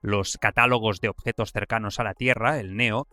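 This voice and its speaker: A man with a Spanish accent from Spain, a podcast host reading a scripted science explainer in a measured, didactic way. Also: fairly low pitch, steady tone, bright, forceful tone.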